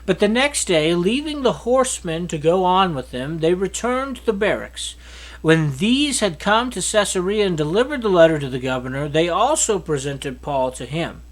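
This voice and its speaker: A man reads scripture aloud, his pitch rising and falling in a lively, animated way. The delivery is steady and earnest, with the occasional stumble he corrects on the spot. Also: normal speed, low-quality recording. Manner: steady, earnest reading with small slips and restarts. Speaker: scripture reader. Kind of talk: reading a Bible chapter aloud.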